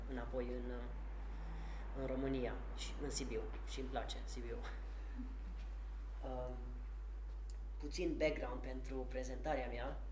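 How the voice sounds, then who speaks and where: A man's voice: medium pitch, darkish tone. A man in his thirties giving a tech talk in a lecture room, speaking loosely with many fillers and restarts. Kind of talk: conference presentation. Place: lecture room with a chalkboard and projector, audience seated. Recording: echoey lecture room, faint hiss.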